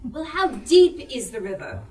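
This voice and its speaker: A woman's voice: high-pitched, big pitch swings, a little slow, darkish tone, pitched above the performer's own voice. A woman voicing a polite, eager traveller in a shadow puppet show, inquiring and full of exclamation. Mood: politely puzzled. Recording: live room, distant mic.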